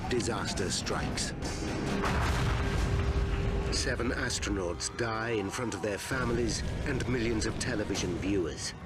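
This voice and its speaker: A man's voice: on the low side, measured pace, pauses often. A British man reading dramatic scripted narration for a documentary. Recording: loud music and effects behind.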